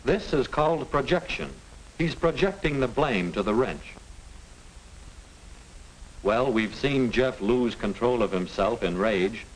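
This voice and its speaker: A middle-aged American man reading scripted narration as a lecturer, didactic and deliberate. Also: mid-range voice, lively intonation, rough recording. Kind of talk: scripted educational film narration. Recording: hissy 1950 film soundtrack.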